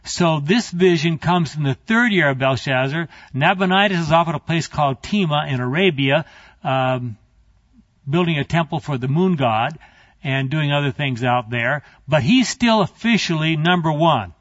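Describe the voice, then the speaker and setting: A man's voice: on the high side, animated, moderate pace, fairly bright tone, dry recording. Man giving a chatty, digressive Bible lecture. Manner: conversational, digressive, with asides to the class. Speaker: Bible teacher. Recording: dry, slightly muffled recording.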